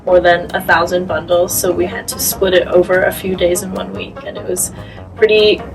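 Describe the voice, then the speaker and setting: Young woman from Singapore, low voice, casual interview, food bank staffer, clear background music. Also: little pitch movement, moderate pace. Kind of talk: casual interview chat, reminiscing. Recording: slightly distant mic under clear music.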